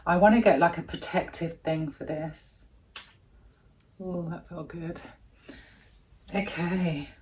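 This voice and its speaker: A woman with a deep voice, chatting casually and gushing as she unboxes a gadget in a vlog at home. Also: fairly even intonation, slow pace, long pauses, noisy background. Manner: gushing, chatty, thinking aloud. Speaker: vlogger.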